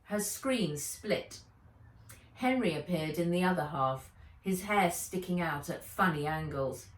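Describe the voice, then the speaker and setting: A woman reading a children's adventure story aloud as its author, with expressive, theatrical delivery. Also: on the low side, unhurried. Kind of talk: dramatised audiobook narration. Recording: roomy, echoey, distant microphone.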